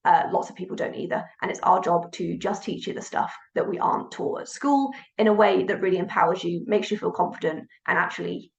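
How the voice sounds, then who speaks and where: A woman's voice: on the low side, steady tone, brisk, creaky, few pauses, roomy sound. A woman hosting a webinar as an accountant, bubbly and chatty, casually swearing. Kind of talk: enthusiastic webinar introduction. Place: home office.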